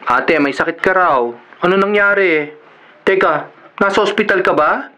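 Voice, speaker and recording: An actor playing a concerned, insistent young Filipino doctor, an earnest younger brother in a radio drama. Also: male voice, high-pitched, normal speed, bright, forceful tone. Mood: worried.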